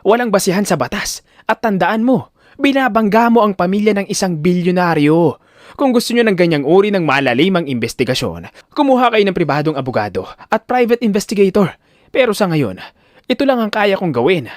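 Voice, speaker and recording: A man's voice: high-pitched, big pitch swings, brisk, no echo, clean studio sound. A man playing a tired, dismissive public defender who talks curtly and impatiently in an audio drama. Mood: cold, dismissive. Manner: curt, impatient, detached. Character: weary, cold, dismissive male public defender.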